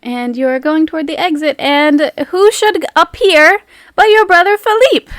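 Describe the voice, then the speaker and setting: A woman with a high voice narrates a tabletop roleplay as game master. She is casual, playful and teasing, and the sound is dry with little room on it. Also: a little slow, no echo. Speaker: game master, podcast host.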